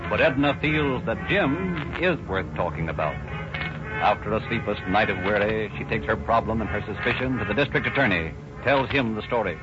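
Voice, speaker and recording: A man narrates in a measured, announcer-like way, his voice low and steady. The sound is dull and lo-fi, with loud orchestral music playing underneath, as in an old radio broadcast.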